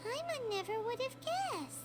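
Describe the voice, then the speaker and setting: A woman voicing a tiny, excitable, childlike fairy companion in a video game, with a squeaky voice. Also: a little slow, full character voice. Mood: curious, surprised. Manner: refers to self in third person, excitable.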